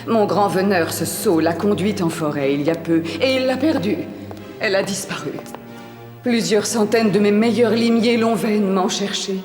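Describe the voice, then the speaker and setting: A woman's voice: mid-range voice, normal speed, reverberant, music in the background, natural voice. A woman playing a vain, scheming middle-aged queen in a dubbed cartoon fairy tale, speaking in a formal, theatrical way. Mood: feigned grief.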